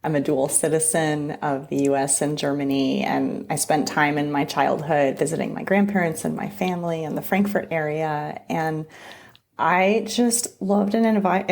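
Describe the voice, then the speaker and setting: Brief: middle-aged woman, lowish voice with vocal fry, conversational guest, restarts often. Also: fairly even intonation, moderate pace. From Southern California. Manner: warm storytelling with many restarts. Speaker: immigration attorney guest. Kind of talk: conversational interview.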